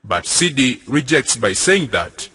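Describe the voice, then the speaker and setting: A young man narrates a drama at a slow pace. His delivery is lively in pitch, with a bright, forceful tone. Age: young adult. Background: music.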